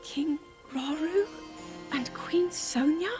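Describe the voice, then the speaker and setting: An actress playing an earnest, polite young princess in a fantasy game cutscene, speaking formally and with some hesitation. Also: female voice, sits high, slow pace, music in the background. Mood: astonished, hesitant.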